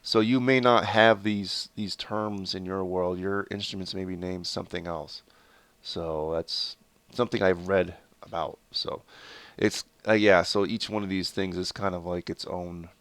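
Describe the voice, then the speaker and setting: A man with a low, nasal voice, his head blocked up by a bad cold, rambles through casual gameplay commentary. His tone stays smooth and even despite the congestion. He's recorded on a close desk mic in a dry, dead room. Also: unhurried, no room sound. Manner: rambling, with many fillers and self-corrections. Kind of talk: casual let's play commentary.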